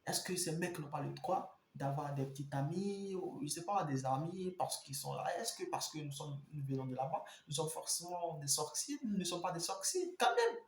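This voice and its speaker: Animated storytelling man in an echoey room. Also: fairly high pitch, moderate pace, few pauses, slight background noise. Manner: animated, repetitive, addresses viewers directly. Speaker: vlogger telling a story. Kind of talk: casual storytelling monologue.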